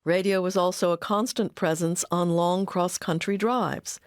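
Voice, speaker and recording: In a dry studio sound, a woman narrates a documentary from a script. Her voice sits on the low side, and her delivery is measured and articulate. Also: fairly even intonation, smooth, dry recording.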